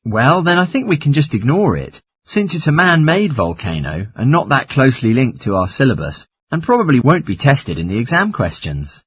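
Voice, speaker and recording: A man's voice: mid-range voice, big pitch swings, normal speed, few pauses, breathy edge. A man playing an agreeable geography student in a scripted listening-test study dialogue. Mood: agreeable, reasoning. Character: male geography student, agreeable, a little nervous.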